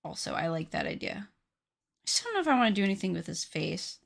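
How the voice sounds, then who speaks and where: A woman's voice: lowish voice, big pitch swings, a little fast, vocal fry. A woman in her late twenties chatting casually with her live-stream viewers while she crafts, joking and teasing herself. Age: 20s. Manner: chatty, joking with chat, self-deprecating.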